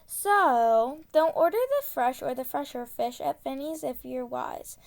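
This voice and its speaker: A woman reading a children's tongue-twister book aloud, enunciating carefully and deliberately. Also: high-pitched, expressive, gravelly. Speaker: storybook reader.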